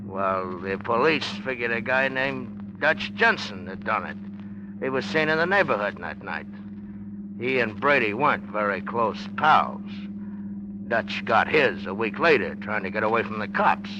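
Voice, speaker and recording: A man's voice: fairly low pitch, animated, a little slow. A man playing a cocky, needling, tough-talking newspaperman in a 1940s radio drama, slangy and smug. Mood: insinuating. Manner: slangy, smug, hard-boiled. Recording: dull noisy old radio recording.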